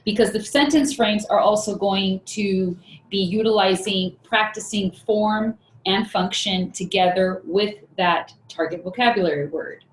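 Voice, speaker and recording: A woman's voice: mid-range voice, measured pace, roomy sound, slight background noise, poor audio. A woman presenting a teaching webinar in a conversational way, with many fillers and restarts.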